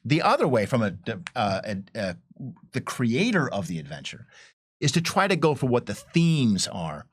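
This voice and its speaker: A man talks casually as a panelist at a middle pitch that moves around a lot. He is animated and builds his explanation through rhetorical questions. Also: moderate pace, slightly rough. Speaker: panelist, adventure developer.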